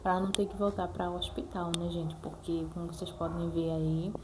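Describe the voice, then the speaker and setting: A woman's voice: lowish voice, fairly even intonation, moderate pace, noisy background. A Brazilian woman talking casually and earnestly to viewers as a mother, repeating herself and adding frequent check-in tags. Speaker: mother sharing her experience in a vlog. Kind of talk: casual personal talk to viewers.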